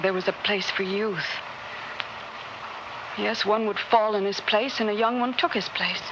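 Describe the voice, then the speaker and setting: A woman's voice: medium pitch, close to an everyday voice. A woman playing a zealous young rebel of a future world, speaking with urgent, declamatory intensity in an old radio drama. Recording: dull, noisy old radio drama recording.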